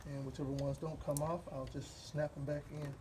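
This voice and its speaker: A man from Wisconsin with a Midwestern accent, explaining a car repair step by step in a relaxed, rambling way while he works. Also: fairly high pitch, noisy background. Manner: relaxed, rambling, with fillers and pauses while working. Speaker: DIY car repair host. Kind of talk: hands-on repair walkthrough.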